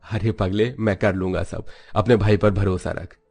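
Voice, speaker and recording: Guy playing steady older brother in a cartoon story, lowish voice, calm and comforting. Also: normal speed, creaky, soft background music. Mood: reassuring. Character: responsible, reassuring elder brother and husband. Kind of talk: cartoon moral story acting.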